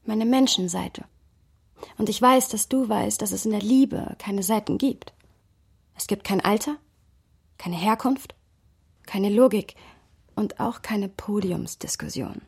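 Radio drama role: earnest, bookish young woman, slightly husky voice, intimate dry sound, reflective delivery. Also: medium pitch, measured pace, pauses often, a little mellow, no room sound, full character voice. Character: Sophia, thoughtful passionate literary young woman. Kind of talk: radio drama narration and dialogue.

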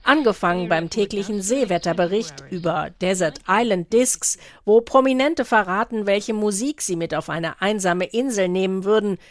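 A woman's voice: medium pitch, normal speed, hardly any pauses, dry recording. Woman reporting in a dry studio sound. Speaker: radio foreign correspondent. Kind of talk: scripted radio report narration.

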